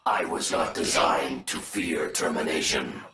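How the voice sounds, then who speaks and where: Robot wolf for a game: low, forceful, measured and logical, machine-like delivery. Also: male voice, echoey room, exaggerated voice. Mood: solemn, resolute. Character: solemn, logical robot wolf with newfound will. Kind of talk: video game cutscene acting.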